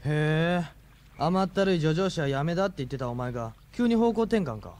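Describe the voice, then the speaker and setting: An actor playing a teasing, brash high school boy in a radio drama. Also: animated, a little fast, darkish tone, lightly acted.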